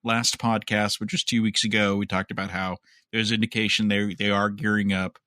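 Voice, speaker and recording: Bright, low-pitched male podcast host. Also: little pitch movement, normal speed, no echo, clean studio sound. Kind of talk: casual news discussion.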